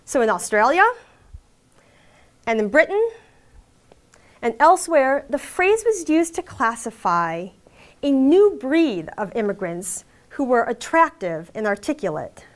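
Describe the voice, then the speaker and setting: A woman delivering a university lecture on fiction and drama. Her voice sits fairly high and moves a great deal in pitch, rising to stress key phrases. She reads from a prepared text in a measured, emphatic way, with the odd "um" along the way. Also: sing-song. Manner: measured, emphatic reading with occasional fillers. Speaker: academic lecturer. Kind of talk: reading a prepared academic lecture. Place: lecture hall.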